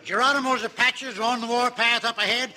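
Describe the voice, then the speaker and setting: Radio western stagecoach driver: folksy, excitable, high and piercingly bright. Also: male voice, a little fast, heavily acted. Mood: matter-of-fact warning. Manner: folksy, exclamatory. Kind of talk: old-time radio western acting. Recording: vintage radio broadcast recording.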